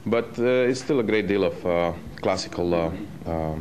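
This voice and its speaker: A Romanian-accented man in his thirties speaks in a very low, deep voice. He answers haltingly, filling pauses with hesitations as he talks about singing. Loud music plays behind him throughout. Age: 30s. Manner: hesitant, many filler pauses, light laughs. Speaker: professional opera singer. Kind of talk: casual interview answers. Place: opera rehearsal at a music school. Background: loud music from the rehearsal.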